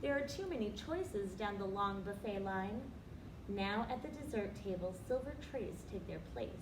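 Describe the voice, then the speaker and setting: A woman reading aloud as a children's storyteller, with expressive, deliberate picture-book phrasing. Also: medium pitch. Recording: roomy, distant, noisy recording.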